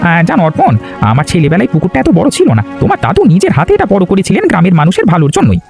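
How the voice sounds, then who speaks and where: A man's voice: high-pitched, huge pitch swings, rapid-fire, gravelly, darkish tone, full character voice. A man playing a kindly, approving older village uncle in cartoon dialogue. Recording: faint music behind the voice.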